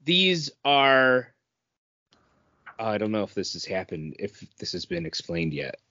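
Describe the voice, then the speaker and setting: A man's voice: on the low side, sing-song, measured pace, sharp tone, no room sound, muffled recording. A man co-hosting a podcast, talking casually as he reviews and discusses a book with friends. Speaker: podcast co-host.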